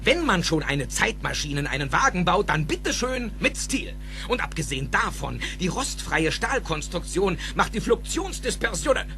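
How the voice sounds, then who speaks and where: An actor playing an excitable, eccentric older scientist in a German audio drama, theatrical and emphatic, with precise technical phrasing. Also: male voice, sits high, normal speed, creaky, soft background music, heavily acted. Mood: proud, explaining.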